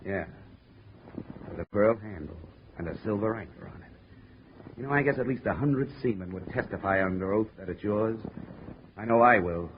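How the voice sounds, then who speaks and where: A man's voice: fairly low pitch, moderate pace, long pauses, lightly acted. A man acting a tough, wisecracking investigator in a 1950s radio detective drama, with an American accent and a clipped, needling manner. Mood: taunting. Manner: hard-boiled, needling, clipped. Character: tough, wisecracking male insurance investigator narrating his case. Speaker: lead actor and narrator. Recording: muffled, noisy 1950s radio recording.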